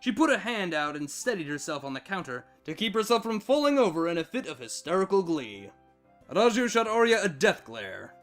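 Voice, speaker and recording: A man narrating a scripted story with dramatic, expressive delivery. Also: high voice, a little fast. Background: background music.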